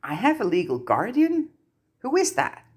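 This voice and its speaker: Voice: mid-range voice, wildly swooping pitch, moderate pace, breathy edge, voice pushed up. Narrator doing a teenage wizard boy, storybook style, kinda unsure, eager, asks stuff hesitantly. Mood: unsure, questioning.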